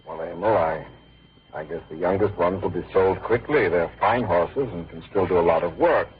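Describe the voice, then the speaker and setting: A middle-aged actor playing a kindly, patient father figure, hesitant and gentle, with an American accent, in old-time radio drama. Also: sits low, moderate pace, slight background noise, natural voice. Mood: gently reluctant. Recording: dull lo-fi vintage radio recording.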